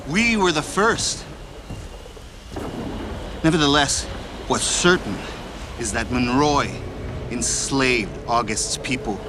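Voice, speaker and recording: An actor playing a calm, analytical man in a video game, explaining in a measured, deliberate way. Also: medium pitch, noisy background. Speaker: game character. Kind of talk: video game cutscene acting. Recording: game mix with loud ambience.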